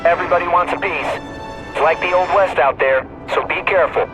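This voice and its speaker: Guy playing police officer on dispatch calls, brisk and official, slightly high voice, music under it. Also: close to an everyday voice, rough recording. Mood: alert. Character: matter-of-fact male police officer on radio.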